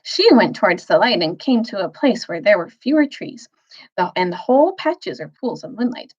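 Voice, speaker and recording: Expressive woman storyteller with a husky voice. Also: mid-range voice, normal speed, muffled recording. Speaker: storyteller, teacher reading aloud. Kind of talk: expressive children's novel read-aloud.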